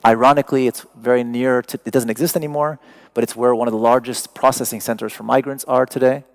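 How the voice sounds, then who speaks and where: Casting note: gravelly-voiced man, native Persian speaker, lecturing with dry humor. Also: mid-range voice, steady tone, fast. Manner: wry, digressive, joking asides. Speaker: artist giving a talk. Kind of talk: lecture to an audience.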